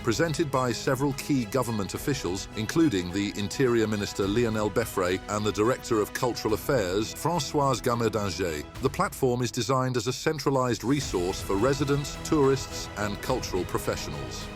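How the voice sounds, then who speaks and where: A male news presenter reading a weekly summary in a measured, even delivery. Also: mid-range voice. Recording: news read over clear music bed.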